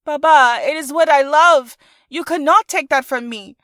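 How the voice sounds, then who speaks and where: Woman voicing a spirited young dancer, raised pitch. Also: moderate pace, a bit bright, dry recording, clean studio sound. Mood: defiant. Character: graceful, playful, determined young woman dancer, elder sister. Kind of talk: audiobook character dialogue.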